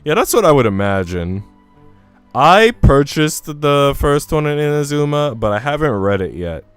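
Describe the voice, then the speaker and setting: A man's voice: on the high side, very animated, normal speed, clean tone. A man livestreaming, chatting casually and informally with his viewers. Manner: informal, chatty, mildly profane. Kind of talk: casual chat and reading a visual novel aloud. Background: soft music.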